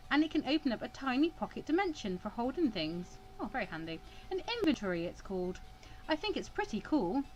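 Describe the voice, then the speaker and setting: Animated high-voiced woman reading a game aloud. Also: low-quality recording. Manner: wry, sarcastic asides. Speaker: gaming video host reading a visual novel aloud. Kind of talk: let's play, reading game text aloud with wry asides.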